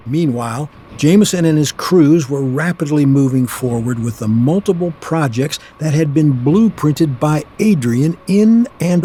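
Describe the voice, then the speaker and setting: A man narrating a scripted radio play in a measured storytelling manner. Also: a little high, dark tone, slightly rough, no echo.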